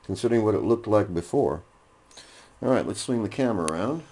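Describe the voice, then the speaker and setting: A man giving a casual, unhurried hands-on demonstration, explaining each step as he works. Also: fairly low pitch, animated, darkish tone. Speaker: hobbyist demonstrator, host. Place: indoor hobby room. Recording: noisy room, machine noise.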